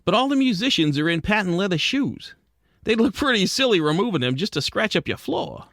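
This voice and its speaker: A wisecracking penguin private eye, played by a man, on the high side with very lively swings in pitch. He narrates and talks in slangy noir style, like a comic radio play, in a dry, close recording. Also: brisk, no echo, heavily acted. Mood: inquisitive, breezy. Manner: hard-boiled noir detective storytelling with slangy asides. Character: plucky wisecracking male penguin detective narrating his case.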